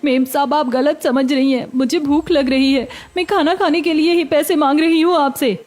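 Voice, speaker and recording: High-voiced young beggar woman, plaintive yet sharp-tongued actress's role. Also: fairly even intonation, normal speed, natural voice. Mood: pleading. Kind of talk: Hindi cartoon story acting.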